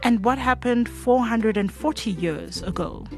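A woman's voice: a little high, unhurried, a little mellow. A woman presenting with scripted narration that links the parts of a report. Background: clear music.

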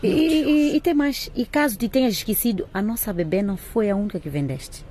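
An actress playing an indignant, hard-working market-trader mother in a radio drama, sharp-tongued, scolding and sarcastic. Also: medium pitch, brisk, slightly rough, natural voice. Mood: bitter, accusing.

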